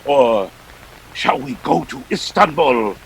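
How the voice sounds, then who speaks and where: Un uomo che interpreta un boss criminale pomposo, lento e teatrale. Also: male voice, mid-range voice, huge pitch swings, slow, creaky, slight background noise, heavily acted, poor audio. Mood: jovial, composed. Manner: florid, theatrical, courtly speech with chuckles. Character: jovial, pompous, scheming fat older male crime boss. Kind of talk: 1940s detective radio play acting.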